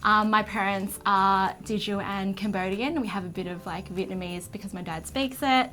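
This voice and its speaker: A woman's voice: mid-range voice, fairly even intonation, moderate pace, a bit bright, low-quality recording. A woman introducing her family background thoughtfully. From Australia. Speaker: participant in a food swap. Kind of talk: casual chat.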